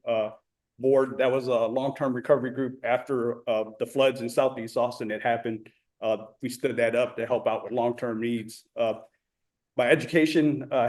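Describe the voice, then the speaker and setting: A man introducing himself as a board candidate at a public meeting, earnest and hesitant, pausing often. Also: mid-range voice, a little mellow. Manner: earnest, with frequent "uh" fillers. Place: community meeting room.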